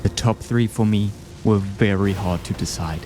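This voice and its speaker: A man's voice: on the low side, normal speed. A man giving enthusiastic, scripted video essay commentary, ranking game bosses. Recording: game music behind the voice. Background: music.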